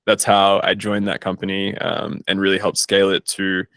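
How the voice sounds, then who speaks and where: A man's voice: sits low, level tone, normal speed, creaky, a bit bright, no echo. A man chatting casually as an interview guest, informal and self-deprecating, recorded in a studio. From Australia. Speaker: guest, startup founder and investor.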